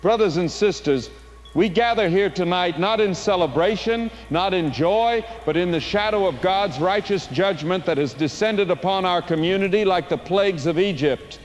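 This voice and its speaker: An actor playing a stern apocalyptic preacher, delivering a solemn, exhorting fire-and-brimstone sermon in an audio drama. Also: male voice, high-pitched, moderate pace, dull audio, soft background music, lightly acted. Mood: righteous, foreboding. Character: stern apocalyptic small-town male preacher. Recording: faint background noise.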